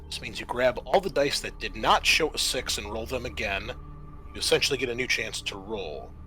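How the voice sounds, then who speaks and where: A man's voice: mid-range voice, lively intonation, moderate pace, sharp tone, soft background music. A man acting as game master, narrating and explaining the rules of a tabletop roleplaying game.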